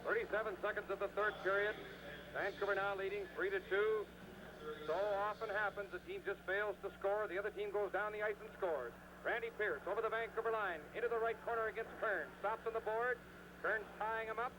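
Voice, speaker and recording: Male hockey commentator, high-pitched and excitable, live 1970s radio sound with clear background noise. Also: a little fast. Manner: excitable, rising on scoring chances. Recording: noisy vintage 1970s radio broadcast.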